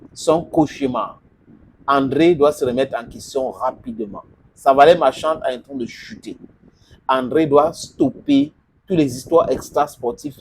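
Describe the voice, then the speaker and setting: Guy ranting about football, gravelly voice, pitch jumping all over, talks down like an older brother. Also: a little mellow, a little hiss or hum, poor audio. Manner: scolding, lecturing, addresses the player directly. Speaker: sports commentator. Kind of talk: opinion monologue on football.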